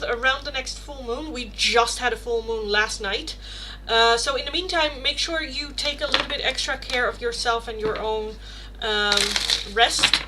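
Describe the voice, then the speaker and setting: Woman, Dutch Rotterdam accent, young adult, tarot livestream host, rambling casual talk, fairly high pitch, clear background noise. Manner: chatty, rambling, many fillers and self-corrections. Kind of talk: casual live tarot reading, answering chat questions.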